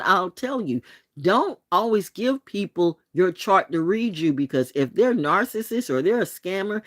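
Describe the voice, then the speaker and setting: A woman's voice: deep voice, no echo, studio quality. A woman in her late sixties, hosting a late-night vlog monologue, talking bluntly and with profanity, with laughs and restarts. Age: 60s. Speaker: channel host.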